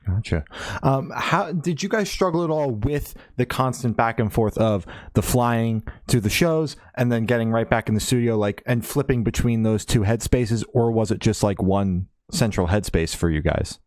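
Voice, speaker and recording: A man hosting a podcast, asking long, relaxed questions filled with "like" and "um". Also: mid-range voice, normal speed, no echo, studio quality. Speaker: podcast host. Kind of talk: casual interview questions.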